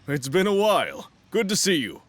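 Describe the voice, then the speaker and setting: Man playing an earnest, high-pitched male professor. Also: huge pitch swings, normal speed, no echo, exaggerated voice. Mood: friendly. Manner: kindly, explanatory. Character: friendly, earnest male archaeology professor, passionate and modest. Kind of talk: audio drama acting.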